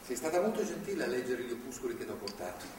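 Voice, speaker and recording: An actor playing a stern, moralizing religious zealot who holds a woman captive, in a deliberate, authoritarian stage delivery. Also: medium pitch, very animated, lightly acted. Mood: solemn preaching. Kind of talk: live stage drama acting. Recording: distant, noisy, echoey theatre hall recording.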